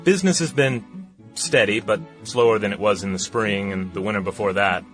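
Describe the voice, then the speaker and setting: A man's voice: on the low side, moderate pace, fairly bright tone, lightly acted. A man playing a plain-spoken small-town tradesman of 1916, reading his diary in a radio play. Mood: calm, reflective. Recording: music clearly behind the voice.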